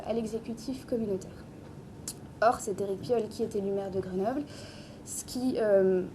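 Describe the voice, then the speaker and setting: High-voiced woman presenting, noisy venue. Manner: structured, explanatory, academic. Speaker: conference speaker presenting research. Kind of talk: prepared public presentation of a political study. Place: public book presentation in Paris venue. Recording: clearly noisy live venue with rumble.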